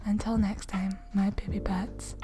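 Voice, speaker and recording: A woman hosting an ASMR channel, giving a soft-spoken outro to listeners. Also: medium pitch, level tone, a little slow. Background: music.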